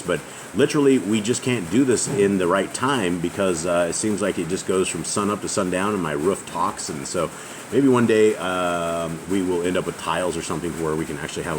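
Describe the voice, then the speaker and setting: Host for home bible study: very deep, booming man reading aloud, faint outdoor noise behind. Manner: loud booming voice, reads aloud with pauses. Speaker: host leading a family scripture reading. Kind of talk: casual group Bible study. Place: house open to the outside, noisy roof. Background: faint outdoor ambience.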